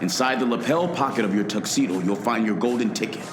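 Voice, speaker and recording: An actor playing a stern general giving a commanding military briefing in a radio play. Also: male voice, on the low side, fast, roomy sound, music in the background, lightly acted. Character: stern male general briefing his team of secret agents.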